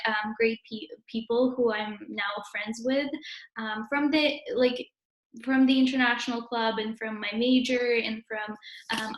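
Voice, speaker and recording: Higher-voiced young Russian woman, roomy sound. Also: a bit bright. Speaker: interviewee, university student. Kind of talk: casual interview answers.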